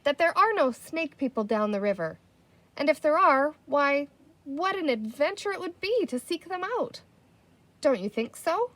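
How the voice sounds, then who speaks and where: Woman voicing a high, eager, sensible storybook girl. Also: big pitch swings, moderate pace, fairly bright tone, dry recording. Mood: eager, persuasive. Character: sensible, persuasive, bossy-but-kind young girl.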